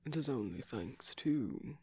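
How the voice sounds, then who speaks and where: A man playing a gentle, wry villain who uses magic, speaking softly and haltingly in an intimate ASMR roleplay with a breathy, husky voice. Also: mid-range voice, lively intonation, no room sound. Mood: wistful, confiding. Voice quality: breathy, husky, slightly creaky. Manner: measured, halting, hushed delivery with pauses. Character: gentle, wry villain magic user comforting a loved one.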